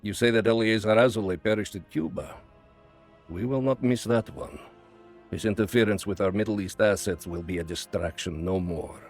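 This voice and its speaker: An actor playing a cold, calculating Soviet spymaster with a measured, menacing delivery in a video game cutscene. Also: male voice, on the low side, faint music behind, natural voice. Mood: cold, businesslike. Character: cold, calculating Soviet spymaster, a man who plots patiently.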